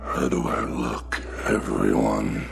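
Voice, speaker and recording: A man's voice: extremely low pitch, steady tone, takes it slowly, creaky, soft background music, heavily acted. A man acting in a radio drama as a greedy middle-aged father, pompous and fawning, curt with his family. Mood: impatient, forced cheer.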